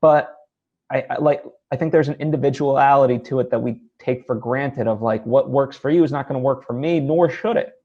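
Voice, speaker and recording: A man's voice: mid-range voice, a little fast, lo-fi sound. A man hosting a casual interview, circling toward his points in a hesitant, conversational way. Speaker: interviewer, host.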